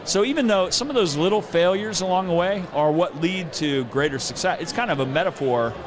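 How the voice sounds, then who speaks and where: A man's voice: high voice, a little fast. Podcast host, middle-aged man, slightly raised register, casual anecdotal talk, busy crowd audible around him. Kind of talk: casual conversational interview. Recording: outdoor event with clear crowd din.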